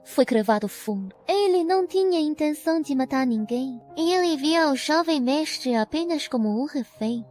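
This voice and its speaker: A woman voicing a stern, calculating female sect leader in a dubbed motion comic, measured and commanding. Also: high-pitched, lively intonation, a little mellow. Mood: grave. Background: background music.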